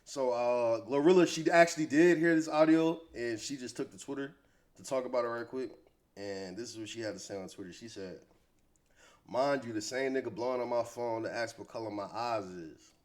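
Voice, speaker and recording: A man's voice: mid-range voice, brisk. An American man giving casual, opinionated hip-hop gossip commentary in slangy, conversational speech.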